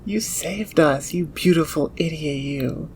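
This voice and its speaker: A woman's voice: low-pitched, measured pace, a little hiss or hum. A woman playing an eager, teasing thief inventor who dotes on gems and machines in an ASMR audio roleplay. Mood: excited, absorbed. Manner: doting, murmuring to gadgets and gemstones.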